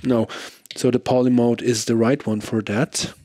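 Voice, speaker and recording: Man, mid-pitched voice, casual gear demo commentary, informal thinking aloud, guitar music behind. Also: little pitch movement, normal speed. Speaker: presenter of a guitar pedal comparison.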